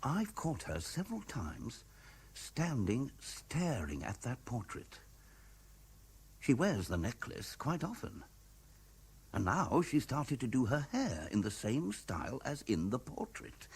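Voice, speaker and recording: An actor with a British accent playing a troubled, earnest middle-aged husband in a 1970s radio drama, hesitant but insistent. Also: male voice, medium pitch, wildly swooping pitch, slow, vocal fry, slightly rough, full character voice.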